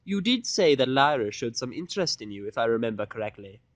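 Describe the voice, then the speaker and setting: A man voicing a refined, theatrical female pony fashionista in an audiodrama, fussy and flattering, with affected, drawn-out genteel phrasing. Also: mid-range voice, no room sound. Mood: matter-of-fact, lecturing. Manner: affected, drawn-out, genteel delivery with terms of endearment. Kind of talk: audiodrama fan fiction character voice.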